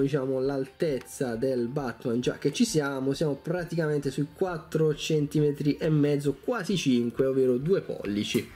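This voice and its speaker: A man's voice: mid-range voice, warm tone, a little hiss or hum. A man giving a casual, enthusiastic product review, chatting informally to viewers and often repeating himself. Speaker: toy reviewer, video host.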